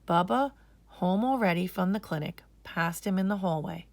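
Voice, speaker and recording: A woman narrating a children's story aloud in an expressive, measured way. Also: fairly low pitch, gravelly, no room sound.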